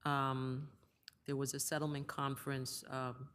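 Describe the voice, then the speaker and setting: A soft-spoken male appellate judge in a courtroom, questioning counsel in a measured way. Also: medium pitch, monotone, smooth. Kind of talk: questioning counsel at oral argument.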